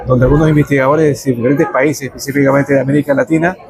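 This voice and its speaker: A man from Ecuador, a researcher who explains his work in a measured way, with some fillers. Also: mid-range voice, level tone. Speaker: researcher, guest. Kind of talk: explanatory interview answers. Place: café during a power cut. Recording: crowd chatter behind.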